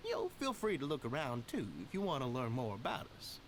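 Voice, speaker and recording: An idealistic club head from a video game voiced by a man, courteous and formal, with animated, swinging intonation. His mid-pitched voice sits over clear noise. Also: a little fast, lightly acted.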